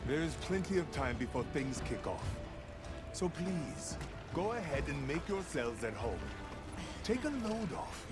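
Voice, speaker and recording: A warm-hearted male chief who greets travelers with laughter and pride. His voice swoops lively up and down. Loud game music plays under him. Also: fairly high pitch, unhurried. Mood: hearty. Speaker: game character.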